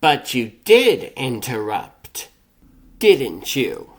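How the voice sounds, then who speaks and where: A man voicing a stern, needling female history teacher in a comic dub, measured and sarcastic. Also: medium pitch, huge pitch swings, slightly rough, full character voice.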